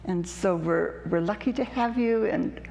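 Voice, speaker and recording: Unhurried woman hosting a talk show. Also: mid-range voice, creaky, mellow, big-room sound, highs cut off. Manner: unhurried, conversational questioning. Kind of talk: studio interview.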